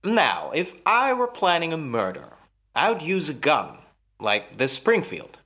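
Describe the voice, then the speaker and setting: A man's voice: a little high, huge pitch swings, measured pace, pushed and bright, heavily acted. An actor in a radio play as a jealous husband, musing theatrically and teasing his wife with menace. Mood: playful menace.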